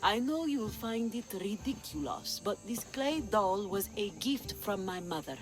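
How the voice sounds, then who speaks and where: Actress as game pirate leader: imperious, sly, flirtatious, theatrical, over prominent music. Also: female voice, mid-range voice, expressive, unhurried, lightly acted. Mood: intimate. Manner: theatrical, commanding. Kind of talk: video game cutscene acting.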